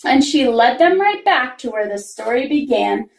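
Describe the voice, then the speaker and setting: A woman reading a rhyming children's story aloud in an expressive, singsong manner. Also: high voice, moderate pace, gravelly. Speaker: reader of a children's picture book. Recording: roomy, echoing room sound.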